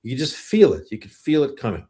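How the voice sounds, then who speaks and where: A man's voice: medium pitch, wildly swooping pitch, fast, warm tone. A middle-aged man hosting a sports podcast, asking and reacting in a conversational interview style. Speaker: podcast host.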